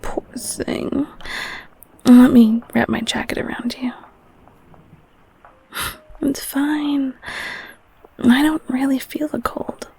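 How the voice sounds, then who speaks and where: A woman voicing a loving, clingy, protective girlfriend in an intimate ASMR roleplay, speaking in a soft whispery voice with tender, gentle cooing. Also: high-pitched, steady tone, takes it slowly, vocal fry, pauses often, husky, heavily acted, rough recording. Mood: tender, playful. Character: loving clingy girlfriend, secretly eldritch being, soothing and protective. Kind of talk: ASMR audio roleplay, intimate one-sided scene.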